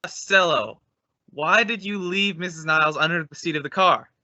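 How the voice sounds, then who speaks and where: A man's voice: on the high side, normal speed, pushed and bright, no room sound, dull audio. A man playing the bossy straight man of an old-time radio comedy duo, explaining and scolding in exasperation. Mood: alarmed.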